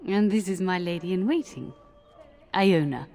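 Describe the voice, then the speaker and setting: A woman's voice: on the low side, unhurried, a little mellow. An actress playing a gracious, flirtatious middle-aged noblewoman in a fantasy game. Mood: playful, gracious. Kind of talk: scripted game voice acting. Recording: music under the voice.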